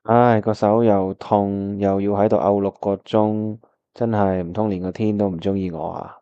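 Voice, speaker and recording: A man's voice: fairly low pitch, flat delivery, normal speed, clean tone, muffled recording, natural voice. A man with a Hong Kong Cantonese accent acting a disheartened, wistful time traveller who narrates his own radio drama. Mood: resigned, grumbling. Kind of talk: first-person radio drama narration and dialogue. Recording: music playing behind the voice.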